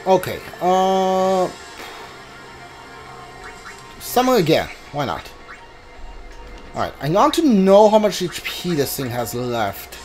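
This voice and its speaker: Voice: medium pitch, huge pitch swings, slow pace, pauses often, darkish tone. A video game streamer giving casual commentary on a blind playthrough. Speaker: gameplay streamer. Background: game music behind the voice.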